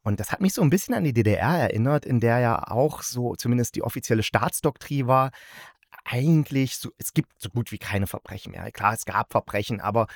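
A man with a medium-pitched voice hosts a casual solo review podcast. He talks in a chatty, slightly halting way, feeling for the right word and correcting himself. His delivery has a playful, jokey side, and the sound is dry with hardly any room. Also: animated, creaky, dry recording. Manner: halting, searching for words, self-correcting. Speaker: podcast host reviewing radio plays.

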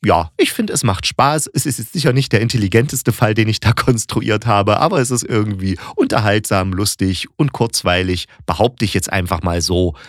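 Low-voiced man, casual podcast host. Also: normal speed, hardly any pauses, dry recording, studio quality. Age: adult. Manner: chatty, colloquial, thinking aloud. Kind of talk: casual solo podcast review.

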